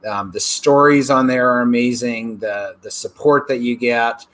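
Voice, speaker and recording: A man presents a show with a medium-pitched voice. He talks effusively as a host, leaning on superlatives as he introduces and questions. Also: unhurried, fairly bright tone. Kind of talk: hosting an online interview show.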